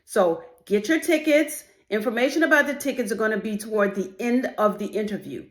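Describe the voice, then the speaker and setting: Lady hosting a podcast interview, middle pitched voice, roomy echoey sound. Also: lively intonation, a little fast. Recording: echoey room.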